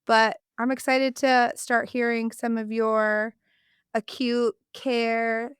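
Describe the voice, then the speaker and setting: Bright-voiced woman podcast host with a cold. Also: fairly high pitch, flat delivery, slow, clean tone, no echo, clean studio sound. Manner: enthusiastic, rambling, self-correcting. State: congested from a cold. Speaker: podcast co-host, speech-language pathologist. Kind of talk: casual chat.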